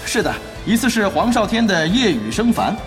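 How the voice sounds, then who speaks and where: A man playing an excitable, emphatic esports play-by-play commentator in an audio drama, prone to dramatic exclamations. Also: high-pitched, moderate pace, roomy sound, soft background music. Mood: curious, engaged. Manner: emphatic, exclamatory, building to shouts.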